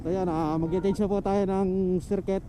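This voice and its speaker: Giggly filipino guy w high voice, slow teasing chat on bike intercom, repeats himself, road noise behind. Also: fairly even intonation. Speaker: motovlogger. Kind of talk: casual joking chat and commentary over intercom while riding. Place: outdoors on a moving motorcycle. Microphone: helmet intercom headset. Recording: clear road and engine noise.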